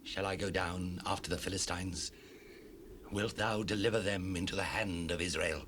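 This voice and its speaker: Casting: stern biblical king, low regal voice, declamatory scripture drama, faint tape noise behind. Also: steady tone, moderate pace, fairly bright tone. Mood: solemn. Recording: noisy cassette rip, ambient sound.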